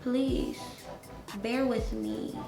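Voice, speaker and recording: A twenty-year-old woman with a Latin American Spanish accent, a native Spanish speaker, chatting excitedly and slangily to camera in a casual beauty vlog. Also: on the high side, drawn-out words, over music. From Venezuela, grew up in the Dominican Republic. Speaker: beauty YouTuber. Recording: roomy echo.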